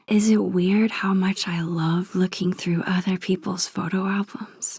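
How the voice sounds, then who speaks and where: A woman playing a shy, sweet, nostalgic teenage girl in a video game, musing softly and breathily to herself. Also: mid-range voice, no echo, clean studio sound. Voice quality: soft, breathy, hushed. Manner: thoughtful, murmured musing to herself. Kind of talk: introspective game character monologue.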